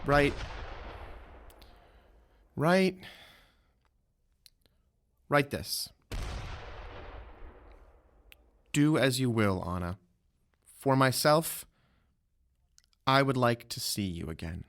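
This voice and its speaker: Casting: military commander, younger, impatient, decisive, medium pitch, audio drama, faint background noise. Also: male voice, very animated, very slow, pauses often, heavily acted. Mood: agitated, resigned.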